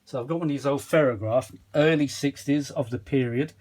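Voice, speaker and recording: A man's voice: mid-range voice, fairly quick, a little mellow. Chatty, rambling man presenting a hobby vlog. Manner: chatty, self-deprecating, often losing his thread and searching for words. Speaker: vintage audio restorer presenting his own video. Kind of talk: rambling casual demonstration vlog. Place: small cluttered kitchen used as a workshop.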